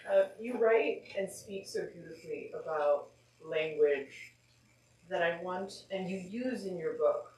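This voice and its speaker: A woman's voice: lowish voice, measured pace, a little mellow. A woman whose native language is Spanish, asking a considered question from the audience at a live event. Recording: echoey room, distant, background noise.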